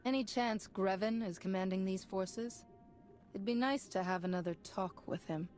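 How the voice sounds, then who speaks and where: A woman's voice: medium pitch, a little mellow, soft background music, close to an everyday voice. Woman playing a composed, resolute Jedi hero. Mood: businesslike. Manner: measured, matter-of-fact. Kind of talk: video game cutscene acting.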